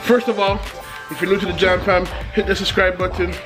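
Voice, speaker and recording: A man's voice: sits high, steady tone, fairly quick, over music. A man cheerfully hosting a family vlog, joking and bantering from his home kitchen. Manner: upbeat, joking host banter. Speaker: family channel host. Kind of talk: casual vlog chat.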